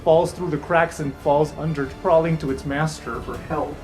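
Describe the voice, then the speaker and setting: A man with a slightly high voice runs a tabletop game, narrating in an informal, dramatic way. The sound is very roomy, with music clearly playing underneath. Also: fairly even intonation, moderate pace, darkish tone. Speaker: game master.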